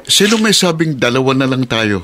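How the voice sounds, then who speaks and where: A man's voice: mid-range voice, sing-song, a little fast, pushed and bright, a little hiss or hum. An actor playing a devoted, cheerful husband and father in a radio drama. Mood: tender, hopeful.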